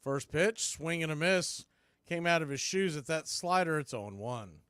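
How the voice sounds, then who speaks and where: Man, American accent, higher voice, live baseball radio commentary, folksy homer, dry close sound. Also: expressive, normal speed, a bit bright, clear, resonant tone, no echo. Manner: partisan, folksy home-team commentary. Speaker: baseball play-by-play announcer.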